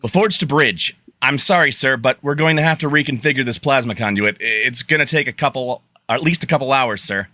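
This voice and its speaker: A man plays an earnest chief engineer in a radio drama. The voice is medium in pitch with a bright, forceful edge. He sounds apologetic and dutiful, recorded dry and close. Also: a little fast, dry recording, close to an everyday voice. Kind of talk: amateur radio play live read.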